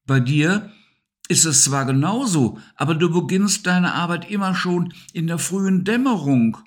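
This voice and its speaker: Male reader as a gentle small night creature, raised pitch, unhurried and soothing. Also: lively intonation. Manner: soothing and patient. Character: calm, gentle male nocturnal animal. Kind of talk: storybook reading.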